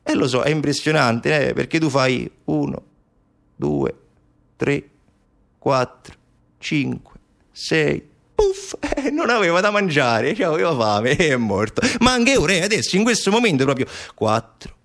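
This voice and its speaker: A man's voice: fairly high pitch, very animated, unhurried, vocal fry, fairly bright tone, full character voice. An actor playing a sarcastic, indignant man in a theatrical monologue, arguing colloquially with an unseen friend through rhetorical questions. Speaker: stage actor.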